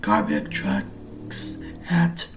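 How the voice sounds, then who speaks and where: A man reading a picture book aloud as a teacher, explaining things simply and deliberately for young children. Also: extremely low pitch, huge pitch swings, faint music behind. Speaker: preschool or early grade teacher.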